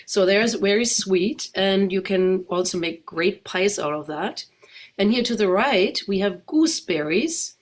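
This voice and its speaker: A middle-aged woman with a German accent, a guest speaker walking a club audience through slides in a chatty way and asking them questions. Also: medium pitch.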